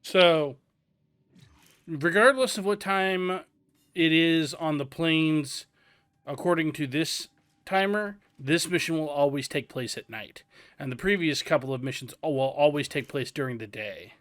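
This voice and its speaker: Guy doing game commentary, bright tense voice, a bit high, walks through tips. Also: measured pace, no echo. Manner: explanatory, giving tips. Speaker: gaming channel host. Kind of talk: let's play game commentary.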